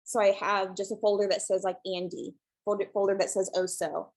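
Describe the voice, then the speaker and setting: Calm woman, webinar presenter on a video call; soft, unhurried, hesitant fillers. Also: mid-range voice. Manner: relaxed, many ums, soft and calm. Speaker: guest presenter, shelter social media creator.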